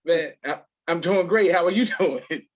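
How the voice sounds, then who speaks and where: A man's voice: fairly high pitch, normal speed, dull audio. A man hosting a casual interview podcast in an affirming, courteous manner. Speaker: podcast host.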